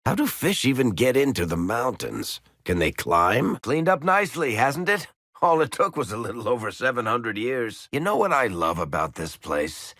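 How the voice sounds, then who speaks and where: A wry rogue companion from a video game, teasing and sardonic. The gravelly, husky voice sits very low and swings expressively through each line. It sounds close and dry. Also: male voice, sharp tone, no room sound, heavily acted. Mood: dry grumbling. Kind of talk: video game character banter.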